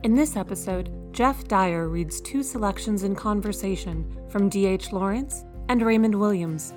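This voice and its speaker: A woman's voice: medium pitch, normal speed, a little mellow. A woman announcer delivering a scripted introduction for a reading series. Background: music.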